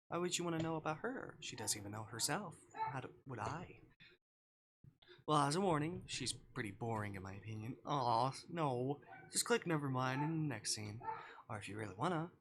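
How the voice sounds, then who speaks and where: A man with a bright, crisp-edged voice streams a visual novel in a casual way. He reads dialogue aloud and drops offhand asides in between. Some noise sits behind his voice. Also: medium pitch. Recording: clear background noise.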